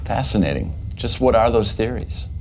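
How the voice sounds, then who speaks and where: An actor playing a polished, serious news anchor with a measured, formal delivery in a game cutscene. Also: male voice, on the low side, expressive, vocal fry. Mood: grave, probing. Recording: dull lo-fi audio, faint noise.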